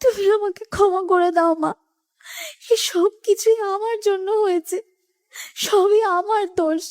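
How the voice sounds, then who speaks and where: An actress playing an emotional, remorseful young daughter in a horror cartoon story. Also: female voice, extremely high pitch, fairly even intonation, a little slow, a little mellow, no echo, studio quality. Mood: tearful guilt. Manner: pleading, emotional.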